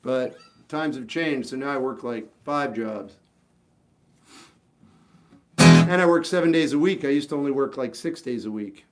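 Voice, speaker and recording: A man's voice: mid-range voice, unhurried, long pauses, music in the background. Rambling fifty-something man livestreaming. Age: 50s. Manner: rambling, self-deprecating, frequent restarts. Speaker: livestream host. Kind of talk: casual livestream chat answering viewers.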